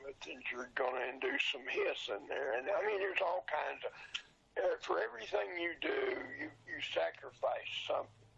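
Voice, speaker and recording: An older man, past sixty, with a gravelly, husky voice, talking casually and plainly as an interview guest, explaining things informally. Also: a little high, big pitch swings, moderate pace, fairly bright tone. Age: 60s or older. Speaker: interview guest, old radio show collector and seller. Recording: dull lo-fi sound.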